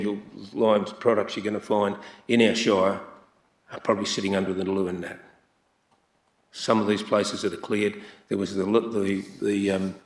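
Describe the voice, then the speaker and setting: A man with a fairly low voice speaks as a councillor at a council meeting. He is plain-spoken and halting and often repeats his words. The sound bounces around an echoey council chamber.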